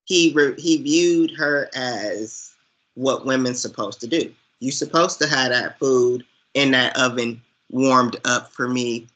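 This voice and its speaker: Slow-talking man with a bright, forceful voice. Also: mid-range voice. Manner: earnest, opinionated, emphatic. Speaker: podcast co-host discussing films. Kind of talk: conversational film commentary.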